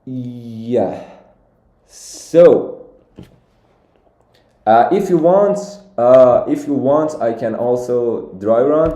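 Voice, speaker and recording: A man's voice: mid-range voice, lively intonation, extremely slow, pauses often, dark tone, reverberant. A young man originally from Iran, walking through contest solutions on a live stream and thinking aloud with many fillers and restarts. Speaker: competitive programming streamer.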